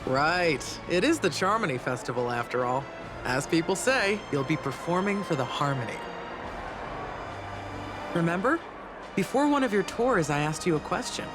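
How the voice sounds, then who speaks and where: A woman's voice: fairly low pitch, very animated, moderate pace. A woman playing a self-assured, teasing, perceptive lady in a video game, with a casual, knowing manner. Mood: teasing, warm. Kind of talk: video game dub acting. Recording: loud music under the voice.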